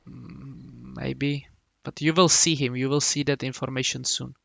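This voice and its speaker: Man, from the Republic, gravelly voice, dry close sound, halting coach talk. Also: mid-range voice, fairly even intonation, a bit bright, dry recording. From Czech Republic. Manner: halting, many restarts and hums while explaining. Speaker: game coach.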